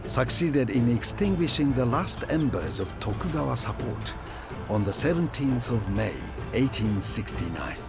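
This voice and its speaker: A man acting as the solemn narrator of a historical strategy game, telling a war chronicle in a measured, grave, dramatic way. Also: medium pitch, animated, gravelly. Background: prominent music.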